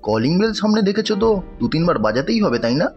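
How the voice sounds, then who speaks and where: A man's voice: a little high, very animated, quick pace, muffled recording, music in the background. An actor playing a rude, sarcastic, hot-tempered young male customer in a cartoon. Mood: scornful, irritated. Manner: curt, sarcastic, scornful. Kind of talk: animated cartoon dialogue.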